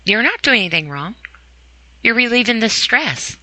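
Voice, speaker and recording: A woman's voice: on the high side, very animated, normal speed, bright, forceful tone. A woman hosting an informal, opinionated podcast monologue, speaking emphatically and repeating phrases for stress. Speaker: podcast host.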